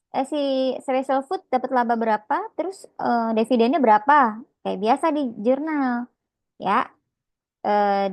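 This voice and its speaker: A woman's voice: on the high side, darkish tone, dry recording. A woman lecturing informally on accounting step by step, conversational and often checking in with short tags.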